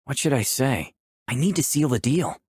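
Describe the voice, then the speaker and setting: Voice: medium pitch, sing-song, normal speed, darkish tone, breathy edge, dry recording, studio quality. A person playing through a visual novel, reading the protagonist's lines and narration aloud with a playful, flirtatious manner. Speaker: player voicing the protagonist and narration.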